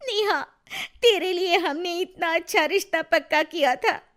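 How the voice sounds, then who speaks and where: Woman acting a wailing, high-pitched distraught mother. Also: fairly bright tone. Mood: anguished, tearful. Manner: tearful, pleading, drawn-out lamenting. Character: wailing, distraught middle-aged mother of runaway bride. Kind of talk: animated Hindi moral story acting.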